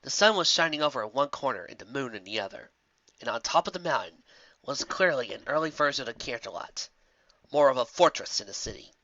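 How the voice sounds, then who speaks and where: Male narrator for fan fiction audiobook: expressive, forward tone, dry room, slightly lo-fi sound. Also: mid-range voice, fairly quick, no echo.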